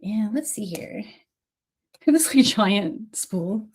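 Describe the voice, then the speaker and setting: A woman's voice: mid-range voice, lively intonation, slow, a little mellow, husky. A woman with a cold, coughing now and then, hosting a casual livestream beading tutorial and chatting with viewers by name.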